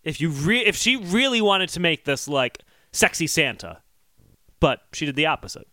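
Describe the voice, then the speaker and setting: A man's voice: a little high, big pitch swings, moderate pace, bright, forceful tone, dry recording, clean studio sound. A man co-hosting a podcast with casual, joking banter. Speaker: podcast co-host.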